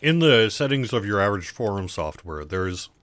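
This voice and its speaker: Stream host, male, very low voice, throat going, quiet and halting with lots of fillers. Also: big pitch swings. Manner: halting, many fillers and restarts, goes quiet. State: hoarse, sore throat and cough. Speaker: livestream host for an online game. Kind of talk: casual live-stream chat.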